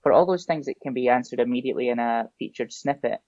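A man's voice: medium pitch, quick pace, a little mellow, no room sound. A man with a Scottish accent, a guest chatting casually on a podcast with a lot of filler ums. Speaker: SEO guest. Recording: dull lo-fi podcast audio.

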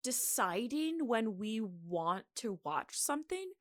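A woman's voice: on the high side, sing-song, takes it slowly, no room sound, clean studio sound. A woman co-hosting a podcast, telling researched history in an informal, conversational way. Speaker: podcast co-host. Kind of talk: casual podcast chat with researched history narration.

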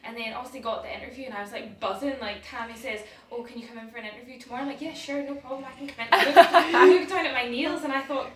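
A woman's voice: a little high, fast, hardly any pauses, distant mic, a crowd in the background. A Northern Irish woman in her twenties, a salon manager, telling her own story and acting out past conversations. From Northern Ireland. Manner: storytelling, acts out past dialogue. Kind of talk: personal story in an interview. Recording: roomy, away from the mic.

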